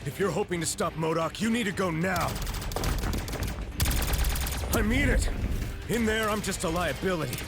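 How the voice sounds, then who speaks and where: Earnest high-voiced soldier hero. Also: male voice, expressive, moderate pace, vocal fry, long pauses. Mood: urgent resolve. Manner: urgent, emphatic, earnest. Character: earnest, brave heroic male soldier. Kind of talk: video game cutscene acting. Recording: loud game music, gunfire behind.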